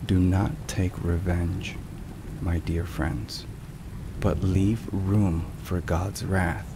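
Deep, slow male ASMR narrator over rain. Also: flat delivery. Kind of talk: ASMR scripted devotional narration. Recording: rain and thunder noise. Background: thunderstorm and rain sounds.

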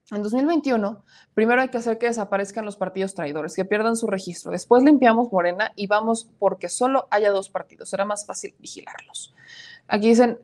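A woman in her thirties hosting a live-streamed news show from a small home studio, reading viewer comments aloud and adding emphatic opinions. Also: medium pitch.

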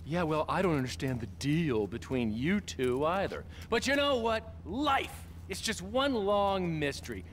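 An actor playing a smug, theatrical rich boss in a video game cutscene, mocking, flamboyant and foul-mouthed. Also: male voice, on the high side, sing-song, measured pace, few pauses, a bit bright, slight background noise. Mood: breezy, dismissive.

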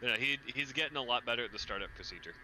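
A man's voice: mid-range voice, animated, rapid-fire, bright, forceful tone, a little hiss or hum, rough recording. A man chatting cheerfully and laid-back over game voice chat. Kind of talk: casual gaming chat.

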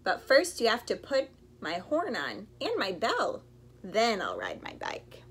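A woman voicing an eager, excitable, stubborn young boy frog from a storybook. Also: medium pitch, measured pace, a little hiss or hum. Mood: stalling. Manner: exclamatory, eager, bargaining.

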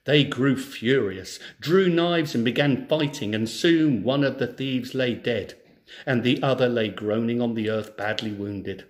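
A man reading a children's story aloud in an expressive, theatrical way. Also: medium pitch, measured pace. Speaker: storyteller, teacher.

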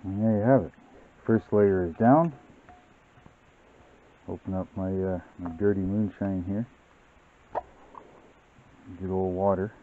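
A man hosting a model-building channel, explaining his workbench steps in a relaxed, rambling way with many fillers. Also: deep voice, takes it slowly, long pauses, slight background noise. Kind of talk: casual hobby tutorial narration. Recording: tinny, phone-like sound.

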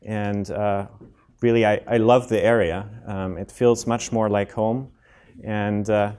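A German-accented man in his thirties gives a friendly talk on heart rhythm to a community audience. He explains things plainly, often pausing with ums and restarting sentences. He speaks into a microphone in a lecture room. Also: fairly low pitch, steady tone, a little mellow, smooth. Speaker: cardiologist giving a public health talk. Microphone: handheld and podium microphone.

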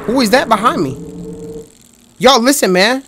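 A young man commentating a horror game in a loud, excitable, trash-talking way, his voice going hoarse, recorded on a headset mic at a home setup. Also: very high voice, normal speed, background noise. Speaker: gaming streamer.

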